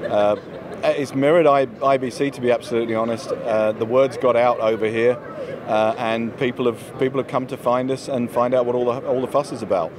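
A man's voice: mid-range voice, fairly even intonation, a little fast, crowd noise. A man in his forties giving a conversational product explanation as an interview guest, into a handheld microphone. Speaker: company executive, interview guest. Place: trade show booth, indoors. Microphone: handheld microphone held by interviewer. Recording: clear busy trade-show floor noise.

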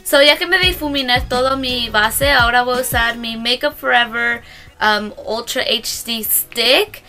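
A woman's voice: fairly high pitch, a little slow, bright, forceful tone, soft background music. A young woman, a native English speaker, chatting through a casual makeup tutorial in a small room, hesitant and self-correcting as she mixes in English words. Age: young adult. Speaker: beauty vlogger.

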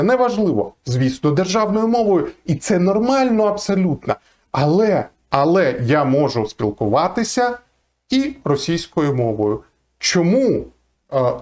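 A man's voice: high-pitched, a little mellow. Earnest man giving emphatic commentary. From Ukraine. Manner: earnest, emphatic, argumentative with frequent fillers. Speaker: lawyer. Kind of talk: to-camera commentary. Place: small indoor room, seated in armchair. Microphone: fixed camera, seated facing it.

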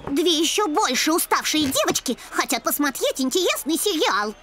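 A woman voicing a sly, teasing, girlish dog sister in an animated series. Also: high voice, normal speed, slight background noise, exaggerated voice. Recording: music under the dialogue.

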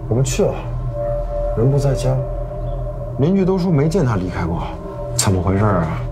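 Man acting a cocky young thug, animated delivery. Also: medium pitch, normal speed, loud music behind the voice, lightly acted. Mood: pressing. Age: young adult. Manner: taunting, swaggering, drawling threats. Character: cocky young male gang enforcer, menacingly casual. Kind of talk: screen drama acting. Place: furnished room indoors.

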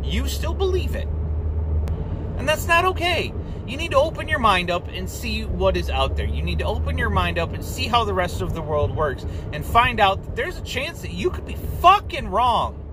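A young man with a high-pitched voice speaks in an impassioned, emphatic rant straight to a camera on his dashboard. He hammers points by repeating himself and throws in the odd curse. The sound is recorded inside a car, with clear traffic and street noise behind him. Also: big pitch swings, normal speed. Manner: heated, emphatic, repeats phrases for stress, swears. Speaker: vlogger. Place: inside a parked car at night. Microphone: dashboard camera facing driver.

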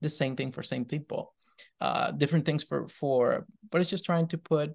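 A man's voice: on the high side, moderate pace, gravelly. A middle-aged man speaking as a panelist on a video call, rambling a little and searching for words. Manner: rambling, filler-heavy, searching. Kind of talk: panel discussion.

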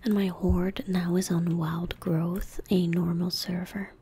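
Young female ASMR gamer: hushed, breathy whisper, flat intonation, dark soft tone, close-miked. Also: fairly low pitch, a little hiss or hum. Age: young adult. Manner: hushed, slow and gentle. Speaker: ASMR streamer playing a game. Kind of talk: soft-spoken ASMR gaming commentary. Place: indoor gaming room at home. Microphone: close desk microphone.

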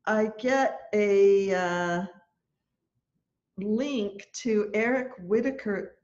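A woman in her sixties, a choir leader, telling a story in an animated, chatty way during a lesson on a video call from home. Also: medium pitch, extremely slow. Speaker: choir leader and music teacher. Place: room at home, indoors. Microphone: laptop webcam on a video call. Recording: dull lo-fi video call audio.

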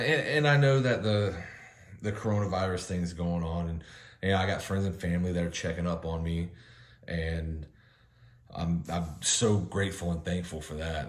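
A man in his thirties from the United States, chatting casually to camera at home, relaxed and rambling with many restarts. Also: low-pitched, reverberant. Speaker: vlogger. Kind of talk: casual vlog monologue. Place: home living room with kitchen behind, indoors.

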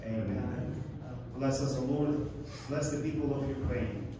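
Man leading a solemn prayer, far from the mic, loud room noise. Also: mid-range voice. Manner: slow, solemn recitation. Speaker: prayer leader. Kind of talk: recited prayer. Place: packed council chamber. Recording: echoey chamber, distant, noisy room.

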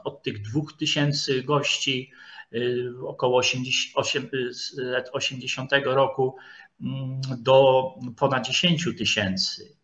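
A man giving an informal history lecture, speaking haltingly and repeating words as he goes. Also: mid-range voice, fairly even intonation, fairly bright tone. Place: online video call presentation with screen share. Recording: dull, lo-fi online call audio.

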